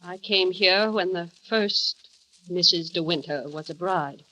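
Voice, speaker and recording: An actress playing a cold, stiffly formal housekeeper of a grand house in a 1930s radio drama. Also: female voice, fairly low pitch, a little slow, pushed and bright, slight background noise, low-quality recording.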